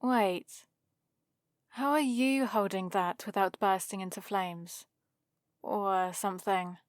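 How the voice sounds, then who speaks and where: A woman's voice: medium pitch, fairly even intonation, takes it slowly, no room sound, natural voice. A woman playing a haughty, sarcastic centuries-old vampire librarian in a scripted ASMR roleplay, with a dry, theatrical delivery. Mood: bemused, sarcastic.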